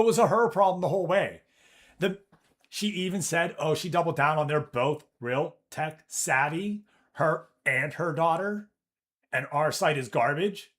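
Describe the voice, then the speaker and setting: A man streams from his office, chatting loosely with viewers and retelling a run-in with a customer. He talks in an animated, profane, storytelling way, and his voice sits a little higher than you'd expect for someone who calls it deep. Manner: animated storytelling, swears, reads chat aloud. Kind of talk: casual chat with live chat.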